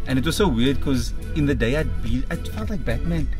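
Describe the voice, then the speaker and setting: A young man chatting as a comedian guest in a car, telling stories in a lively way and acting out voices he quotes. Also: medium pitch, fairly quick, over music. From Cape Town, South Africa. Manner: animated, acts out quoted voices, lively storytelling. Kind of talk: casual conversation. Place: inside a car. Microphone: dashboard camera.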